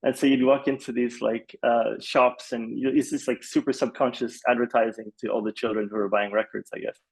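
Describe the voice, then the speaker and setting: Rambling man with a creaky, gravelly edge. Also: mid-range voice, flat delivery, fairly quick, poor audio. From Malaysia. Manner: rambling, hesitant, peppered with "like" and "you know". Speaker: podcast guest, film composer. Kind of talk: casual interview storytelling.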